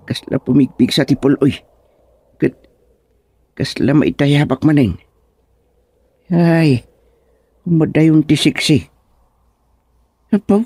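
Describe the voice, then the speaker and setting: A man with an Ilocano accent playing an excitable comic village man in a radio drama, exclaiming in drawn-out, emphatic phrases. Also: medium pitch, dry recording.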